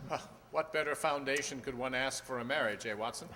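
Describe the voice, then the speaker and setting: An actor playing a shrewd, dryly superior gentleman detective in a radio play. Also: medium pitch, a little fast, natural voice. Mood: wry. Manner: crisp, probing, wry. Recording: noisy, echoey hall recording.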